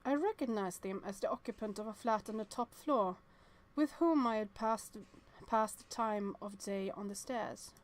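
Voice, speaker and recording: A woman's voice: mid-range voice, normal speed, few pauses. A woman reading visual novel text aloud and adding chatty reactions as she plays. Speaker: let's-play streamer.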